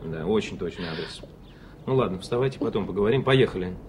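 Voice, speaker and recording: An actor playing an insistent, exasperated but caring doctor in a 1980s Soviet radio drama, speaking in clipped, brusque phrases. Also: male voice, fairly low pitch, animated, fast talker, gravelly, a little mellow. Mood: urgent, businesslike. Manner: brusque, urging, clipped professional speech. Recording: faintly noisy archive radio play recording.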